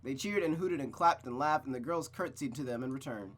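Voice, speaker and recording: A man's voice: medium pitch, brisk. Chatty young man hosting a story stream. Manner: energetic, chatty, swears casually. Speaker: host reading a visual novel. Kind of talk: casual gaming chat and story narration.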